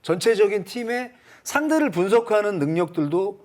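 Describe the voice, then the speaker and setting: Casting: male sports analyst, high voice with animated intonation, explanatory panel talk. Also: moderate pace. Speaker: baseball analyst.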